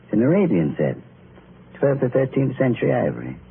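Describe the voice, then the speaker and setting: An actor playing a courteous, sly pawnshop keeper in an old radio drama, speaking formally and with insinuation. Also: male voice, medium pitch, sing-song, brisk, creaky. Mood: courteous, intriguing. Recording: noisy, narrow-band old radio recording.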